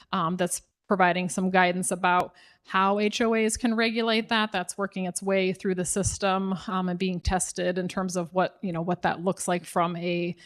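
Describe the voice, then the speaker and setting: A woman city official with an American Midwest accent, explaining zoning rules to residents at a public meeting, with frequent ums and restarts. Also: lowish voice.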